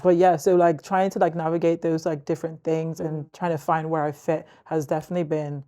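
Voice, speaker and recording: A young woman talks in a chatty, relaxed way and laughs often. Her voice is warm and mellow, and it sits a little low. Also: a little fast. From Zimbabwe, raised in Northern Ireland. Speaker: guest, youth leader. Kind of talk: casual chat.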